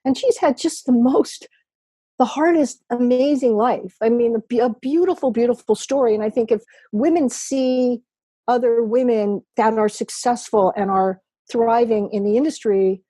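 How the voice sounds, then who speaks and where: A woman's voice: fairly high pitch, normal speed, mellow, no echo. A woman, a guest chatting on a video call, rambling and laughing often. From New York. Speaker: podcast guest, poker writer. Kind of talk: casual remote interview chat.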